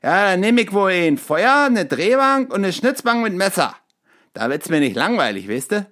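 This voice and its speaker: A simple, fearless young miller's son from a fairy-tale audio play, played by an amateur. He is cocky and breezy, with slangy phrasing and a very lively, swinging pitch. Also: moderate pace. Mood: nonchalant. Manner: colloquial, breezy, nonchalant. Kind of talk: amateur audio drama acting.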